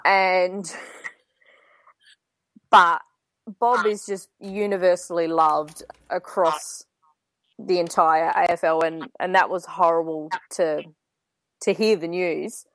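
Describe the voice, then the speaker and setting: An Australian woman co-hosting a casual football chat, her voice slightly croaky, talking in a joking, chatty way. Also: fairly low pitch, steady tone, slow, long pauses, fairly bright tone, no echo, low-quality recording. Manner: chatty, joking, laughs often. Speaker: podcast co-host.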